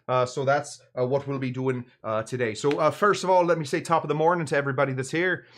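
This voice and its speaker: A man hosting a casual livestream in a put-on Irish accent, chatting in a halting, rambling, jokey way with mock-grand asides. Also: mid-range voice, steady tone, a bit bright, slight background noise. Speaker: livestream show host. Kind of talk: casual livestream chat, greeting viewers and presenting drinks.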